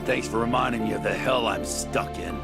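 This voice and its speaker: Gravelly, jaded warrior. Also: male voice, medium pitch, a little fast. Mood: brooding. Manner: blunt, slangy, grumbling. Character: gruff, jaded male warrior, cynical and blunt. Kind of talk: English video game voice acting. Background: music.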